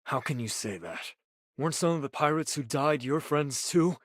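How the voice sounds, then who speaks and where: A loyal young pirate in an anime game, earnest and hot-headed. His voice is on the high side for a man and recorded dry. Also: lively intonation, moderate pace, no room sound. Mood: earnest, indignant. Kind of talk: scripted anime game dialogue.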